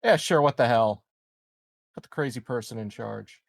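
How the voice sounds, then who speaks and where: A man taking part in a tabletop game, mulling things over aloud and tossing out dry, sarcastic remarks. He speaks at an ordinary pitch, and the recording is close and dry. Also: normal speed, vocal fry, no room sound. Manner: wry, sarcastic. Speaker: player. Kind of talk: casual in-character banter at a game table.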